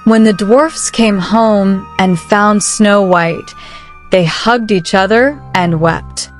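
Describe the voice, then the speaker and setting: A woman narrating a children's picture book aloud with measured, careful phrasing. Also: medium pitch. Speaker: storybook narrator. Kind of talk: children's read-aloud storytelling. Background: soft music.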